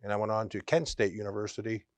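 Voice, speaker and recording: A man, speaking in a dry studio. He answers earnestly, often pausing and restarting his sentences. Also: on the low side, fairly even intonation, no room sound. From Western Pennsylvania. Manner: earnest, with frequent ums and restarts. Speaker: MBA program director, guest. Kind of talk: conversational interview answers. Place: college TV studio.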